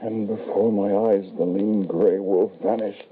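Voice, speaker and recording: A crackly, narrow-band old radio broadcast carries a haunted father as a male actor plays him with a low voice. He is anguished and grave, speaking with the hesitant drama of a vintage horror play. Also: steady tone, a little slow, natural voice. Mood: haunted, resigned. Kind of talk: old-time radio horror acting and narration.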